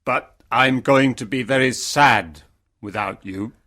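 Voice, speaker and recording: A man playing an ugly but kind, sorrowful Beast in a simple fairytale, speaking earnestly and deliberately. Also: medium pitch, pushed and bright. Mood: sad, solemn. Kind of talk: fairytale storybook dialogue for English learners.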